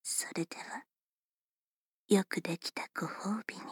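A woman's voice: mid-range voice, steady tone, slightly rough, dry recording, clean studio sound. A woman playing a teasing whispering servant. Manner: formal, politely teasing, unhurried. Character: polite, teasing female servant attending a young lady. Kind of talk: whispered ASMR roleplay.

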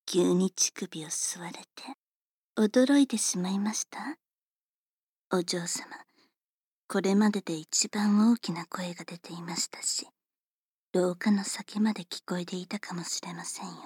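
A woman voicing a polite, teasing female servant who attends a young lady, speaking in formal, unhurried whispers for an ASMR roleplay. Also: fairly low pitch, darkish tone, slightly rough, no echo, clean studio sound.

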